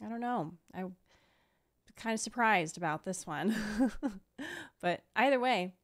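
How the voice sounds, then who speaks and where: A woman's voice: medium pitch, lively intonation, unhurried. A tired young woman with a slight lisp, chatting casually as she streams a reaction at a close desk microphone. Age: young adult. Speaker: streamer reacting to game trailers. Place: small room at home. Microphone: close desk microphone with headset.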